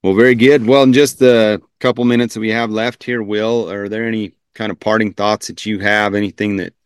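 A man's voice: lowish voice, level tone, brisk, clean tone, no echo, clean studio sound. A man hosting an interview podcast over a video call, earnest and affirming, often adding short nods of agreement. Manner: earnest, affirming, frequent backchannels. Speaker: podcast host.

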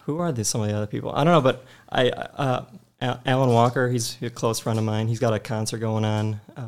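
A man's voice: medium pitch, steady tone, a little fast, clean tone. A man in his late twenties, a podcast guest, telling stories in an eager, upbeat way. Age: 20s. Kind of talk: casual chat.

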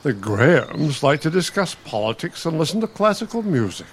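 A man's voice: a little high, sing-song, moderate pace, husky. A man playing an irritable elderly bookish husband in a radio play, testy, condescending and sardonic. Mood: exasperated. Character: irritable elderly bookish husband, pompous retired college dean.

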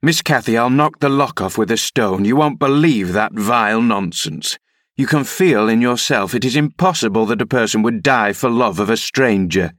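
A man's voice: mid-range voice, sing-song, moderate pace, few pauses, a bit bright, no room sound, clean studio sound. A man reading as a loyal, sensible housekeeper woman in a classic novel, protective and admonishing. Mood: indignant. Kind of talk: audiobook character reading.

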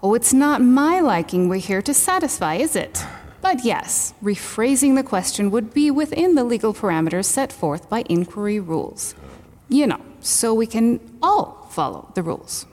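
An actress playing a sarcastic, rule-minded official who speaks precisely and formally in an audio drama. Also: female voice, a little high, sing-song, normal speed, a little mellow. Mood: arch, sarcastic. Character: dry, sarcastic female official policing inquiry rules. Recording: long hall reverb.

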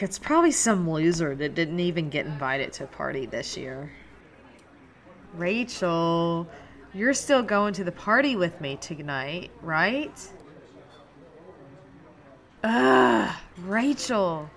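A woman's voice: lowish voice, lively intonation, slow pace. A woman acting a cheeky young small-town waitress who loves Halloween in an audio drama. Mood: exasperated, pleading.

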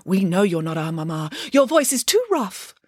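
A woman's voice: medium pitch, very animated, moderate pace, a little mellow, husky, no room sound, clean studio sound. A woman voicing clever, wary little piglet children in a storybook, earnest and childlike. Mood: doubtful.

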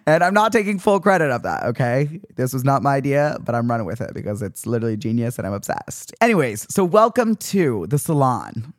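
A man's voice: medium pitch, no room sound, clean studio sound. A man in his twenties hosting his own podcast, ranting sassily and playing mock-offended voices in a casual monologue. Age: 20s. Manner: sassy, animated rants with mock-indignant quoted voices. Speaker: podcast host.

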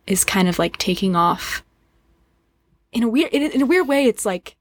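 Earnest young woman, thoughtful and halting. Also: medium pitch, animated. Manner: earnest, searching, halting as she works out thoughts. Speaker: podcast guest. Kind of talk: casual reflective conversation.

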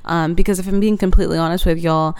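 A young woman in her twenties talks earnestly about faith and rest, in a casual, rambling way full of fillers and small laughs. Her voice sits a little low and sounds smooth and clear. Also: steady tone, quick pace. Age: 20s. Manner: earnest, warm-hearted, laughs often, many fillers. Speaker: podcast host. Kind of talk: reflective faith podcast monologue.

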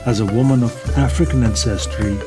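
A man hosting an interview show, reading a measured introduction and asking questions. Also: mid-range voice. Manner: measured, reads guest intro. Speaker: podcast host. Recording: music bed behind voice.

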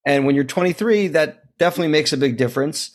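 A man's voice: a little high, fast. A man in his thirties hosting a podcast interview, reading a prepared introduction and asking questions. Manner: scripted introduction, friendly questions. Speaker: podcast host.

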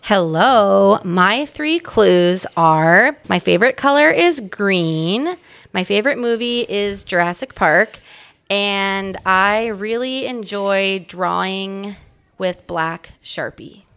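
A woman's voice: mid-range voice, animated, slow pace, gravelly, a bit bright, smooth, no echo. A woman narrating a children's storybook expressively as a guest reader.

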